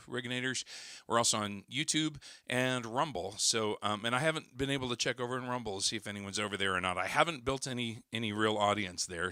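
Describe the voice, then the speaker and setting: A man with a hoarse, recovering voice, chatting casually to viewers on his livestream with plenty of fillers and restarts. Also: mid-range voice, sharp tone, dry recording, clean studio sound. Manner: rambling, many fillers and restarts. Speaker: worship singer hosting a livestream.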